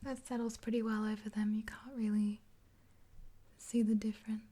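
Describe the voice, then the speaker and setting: A woman in her twenties doing an ASMR roleplay in a gentle, unhurried, breathy whisper, reassuring and close to the mic. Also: a little high, little pitch movement. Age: 20s. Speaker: ASMR performer playing a makeup helper. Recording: hissy close-up with clicks.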